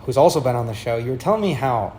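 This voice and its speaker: A man's voice: mid-range voice, expressive, very fast, darkish tone. Guy hosting a podcast, jokey casual questions, slight hiss behind. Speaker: podcast host. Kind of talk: casual interview chat.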